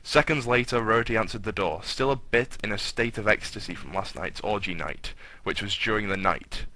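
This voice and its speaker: Male narrator for comic fiction, lowish pitch, very bright forceful tone, dramatic delivery. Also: brisk, no room sound. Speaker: narrator and host of a comic fan fiction reading. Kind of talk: dramatic comic story reading.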